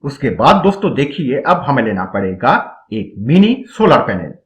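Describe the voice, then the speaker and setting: Animated man narrating a how-to tutorial. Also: medium pitch, normal speed. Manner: instructional, addresses viewers as friends. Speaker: DIY electronics tutorial narrator. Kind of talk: scripted step-by-step tutorial narration.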